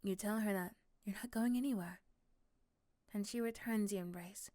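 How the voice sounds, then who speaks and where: A woman's voice: medium pitch, normal speed, mellow, dry recording. Dry, close female roleplay narrator. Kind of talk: scripted second-person ASMR roleplay narration.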